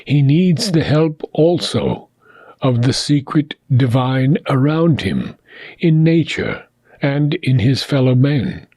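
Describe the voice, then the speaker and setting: A man reading a book of spiritual philosophy aloud in a solemn, deliberate manner, pausing often between phrases. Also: mid-range voice, big pitch swings, a little mellow, no echo. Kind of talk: scripted audiobook reading.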